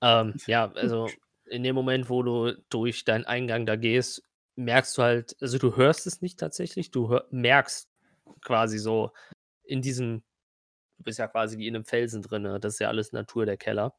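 Mann als stockend beschreibender Spielleiter. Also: male voice, medium pitch, steady tone, a bit bright, dry recording, clean studio sound. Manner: halting, descriptive narration addressed to the player. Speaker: game master. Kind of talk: narrating a tabletop role-playing game.

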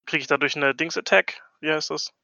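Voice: mid-range voice, steady tone, fairly quick, creaky, pushed and bright, no room sound, highs cut off. A player in a tabletop game podcast bantering casually in a cheeky, slangy way.

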